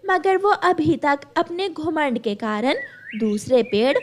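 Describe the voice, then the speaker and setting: A woman's voice: high voice, lively intonation, slow, a little hiss or hum. A woman storyteller reading scripted narration for a children's cartoon tale.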